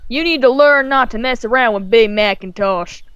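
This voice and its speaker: A woman voicing a big male farm pony, placid and quietly menacing, speaking in folksy country dialect in a storybook. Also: a little high, moderate pace, clear, resonant tone, no echo, muffled recording, close to an everyday voice. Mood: calmly menacing.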